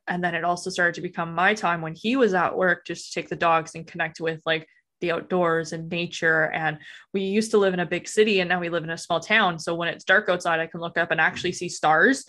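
A woman's voice: fairly low pitch, pushed and bright. A woman from Alberta with a Western Canadian accent, chatting informally and ramblingly as a podcast guest. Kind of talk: casual interview chat.

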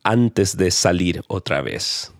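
Deep-voiced patient Spanish tutor man. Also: animated, slow pace, creaky, no room sound, studio quality. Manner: patient, corrects gently, many soft affirmations. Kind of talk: casual language-learning chat.

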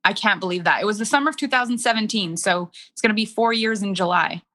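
A woman's voice: medium pitch, fast, sharp tone. A woman in her thirties with a French Canadian accent, a French native speaker working as a coach, telling her story candidly in a casual interview, full of "like" fillers. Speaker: business coach.

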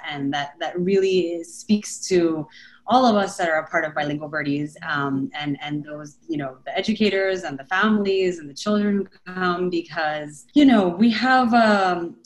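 La woman guest, low-ish voice, chatty, lots of ums. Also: normal speed, poor audio. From Los Angeles. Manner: chatty and enthusiastic, frequent fillers and laughs. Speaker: guest, company founder. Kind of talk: casual interview talk.

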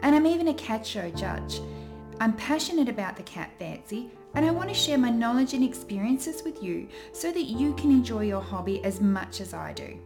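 A woman's voice: on the high side, very animated, normal speed, creaky. A woman with an Australian accent reading a scripted, welcoming podcast introduction. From Western Australia. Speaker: podcast host. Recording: intro read over music.

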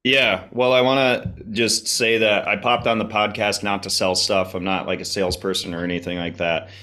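Deep-voiced man, slightly hoarse. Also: fairly even intonation, moderate pace, pushed and bright. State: slightly hoarse, mildly congested. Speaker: podcast guest, health enthusiast. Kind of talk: casual conversational interview.

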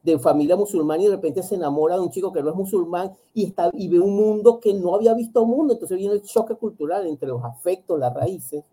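A man's voice: high-pitched, fast talker, few pauses, dark tone, husky. A man, a psychologist, talking reflectively in an interview, often restarting and repeating words. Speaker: psychologist guest. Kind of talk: interview conversation.

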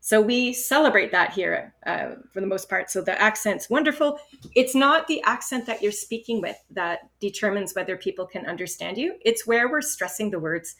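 Steady, encouraging woman test-prep instructor. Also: medium pitch, moderate pace. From Canada. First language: English. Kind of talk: instructional podcast talk.

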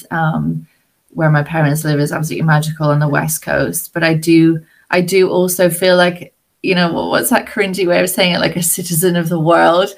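A British woman appears as a guest on a casual podcast. Her voice sits a little low, and she thinks aloud, rambling and reflective, with plenty of fillers. She talks at an easy, conversational pace. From British, raised partly abroad. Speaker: women's mentor and podcast guest. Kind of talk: casual interview chat. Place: farm home in a village.

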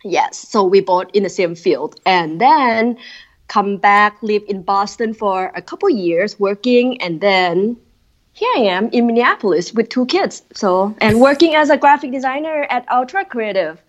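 A woman's voice: medium pitch, expressive, unhurried, highs cut off. A woman in her thirties from Thailand with a Thai accent, chatting casually as a podcast guest, with lots of fillers. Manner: chatty, with many fillers and non-native grammar. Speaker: guest graphic designer. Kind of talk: casual interview chat.

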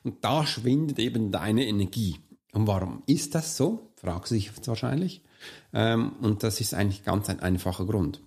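A hoarse man, a coach and podcast host, talking earnestly and straight to the listener in a solo motivational monologue. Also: fairly low pitch, expressive, moderate pace, darkish tone.

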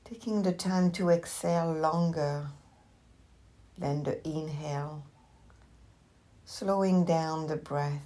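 A woman with a low voice leads a guided meditation at a very slow pace. She gives gentle instructions as a teacher would, leaving long pauses between short phrases.

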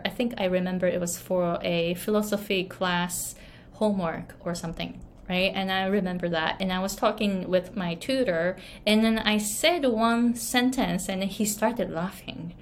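Slow-talking Japanese-accented woman. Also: on the low side, slight background noise. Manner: laughs often, many fillers and restarts. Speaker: English pronunciation coach. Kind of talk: casual talk, storytelling tips.

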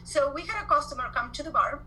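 An Argentine woman in her forties talking as a podcast guest, in a bright and slightly high voice. She tells her stories with animated energy, doubling back and repeating words. A faint hiss of noise sits under the recording. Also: fast. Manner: animated storyteller, repeats words when excited. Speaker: guest entrepreneur. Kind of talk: podcast interview.

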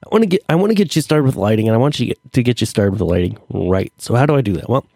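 A man hosting his own podcast in a chatty, rambling way, full of fillers, his voice stuffy and hoarse from allergies. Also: mid-range voice, animated, racing, warm tone, no echo, studio quality. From Middle Tennessee. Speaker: podcast host. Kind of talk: solo podcast talk.

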